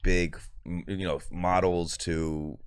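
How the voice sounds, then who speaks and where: A man hosting a podcast with a very low voice, recorded dry and close. He is easygoing and curious, swears casually and laughs along with his guest. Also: flat delivery, slow, clear, resonant tone, no echo. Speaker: podcast host. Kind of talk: casual interview chat.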